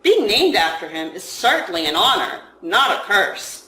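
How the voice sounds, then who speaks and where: Woman reading as a quietly authoritative male agent, echoey. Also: mid-range voice, very animated. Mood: quiet authority. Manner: measured, matter-of-fact. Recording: roomy, echoing room sound.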